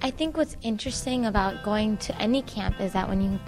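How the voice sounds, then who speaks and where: A woman's voice: mid-range voice, brisk, over music, rough recording. A teenage girl from Florida, chatty and reflective about making friends at camp. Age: teens. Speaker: camper.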